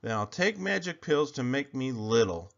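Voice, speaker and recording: A man's voice: medium pitch, very animated, moderate pace, gravelly, a bit bright, dull audio, pitched above the performer's own voice. A man voicing a timid but imaginative young boy in a storybook, speaking earnestly and plainly. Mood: plucky.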